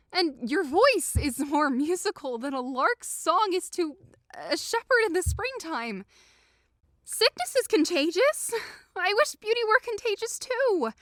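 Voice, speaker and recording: A woman's voice: very high voice, fairly bright tone, dry recording, studio quality, exaggerated voice. A woman playing a lovesick, envious young woman in an audio drama, with a dramatic, self-pitying manner. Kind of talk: modernized Shakespeare audio drama acting.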